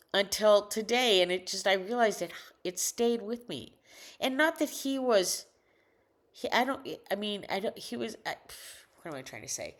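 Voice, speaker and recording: An older woman with a low alto voice talking casually into a desk microphone at home, rambling, halting often and correcting herself mid-sentence. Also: a bit bright. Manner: rambling, halting, many restarts and self-corrections. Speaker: vlogger. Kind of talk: casual personal monologue.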